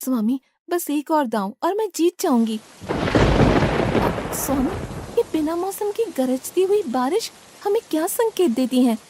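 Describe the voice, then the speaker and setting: Devoted goddess wife for a mythological cartoon, very high female voice actress, respectful and curious. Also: expressive, a little slow, noisy background. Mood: curious, eager. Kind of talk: Hindi cartoon mythological acting.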